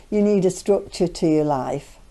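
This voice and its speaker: A woman's voice: lowish voice, measured pace, mellow. A woman in her seventies, retired, talking plainly in a casual interview. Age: 70s.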